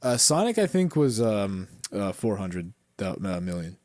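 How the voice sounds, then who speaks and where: A man's voice: lowish voice, big pitch swings, a little slow, darkish tone, no echo. A man co-hosting a podcast in casual chat, blunt and opinionated, with frequent swearing. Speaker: podcast co-host. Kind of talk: casual pop culture chat.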